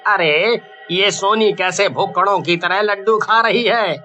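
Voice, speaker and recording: A man acts out a worried, bewildered husband in an animated horror tale. His voice is high and sharply bright, delivered slowly with anxious questions and exclamations, over light background music. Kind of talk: dramatized Hindi animated horror-story acting.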